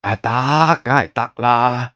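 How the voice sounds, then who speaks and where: A man's voice: medium pitch, sharp tone, no echo, dull audio. A man playing a polite, anxious museum director, courteous and hesitant, in an audio drama. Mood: obliging. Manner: courteous, hesitant, drawn-out obliging phrases. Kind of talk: Cantonese audio drama acting.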